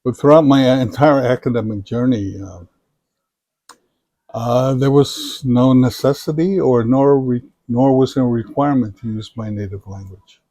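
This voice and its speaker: Older guy, slow thoughtful answers, warm low-key tone, dry sound. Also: dry recording. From Samoa. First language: Samoan. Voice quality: measured, thoughtful delivery with frequent fillers. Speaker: interviewee, retired professor. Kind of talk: reflective interview answers.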